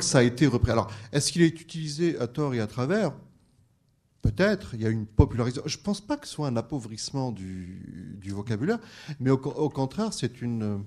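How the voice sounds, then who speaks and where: A man's voice: medium pitch, a little mellow. A man, a French teacher bilingual in French and Arabic, speaking on a discussion panel with frequent restarts and fillers. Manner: hesitant, many restarts.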